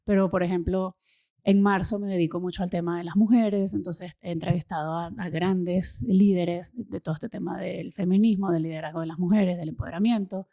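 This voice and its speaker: A Venezuelan woman in her thirties, talking enthusiastically as an interview guest and often pausing with fillers and repeated words. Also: lowish voice, steady tone, vocal fry, mellow, no echo, studio quality. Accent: Venezuelan Spanish. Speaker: guest, social impact consultant. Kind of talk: casual interview conversation.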